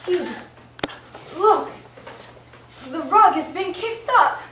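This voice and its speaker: A woman's voice: extremely high pitch, expressive, takes it slowly, bright, forceful tone, heavily acted. An actress playing a frightened, insistent woman of the house in an old-time radio play, melodramatic and anxious. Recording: very echoey, distant stage recording, faint noise.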